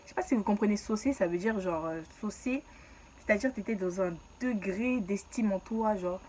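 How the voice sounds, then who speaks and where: A woman's voice: medium pitch, moderate pace, warm tone, slight background noise. A young woman from Ivory Coast telling her own story in a casual, slangy way and laughing at herself.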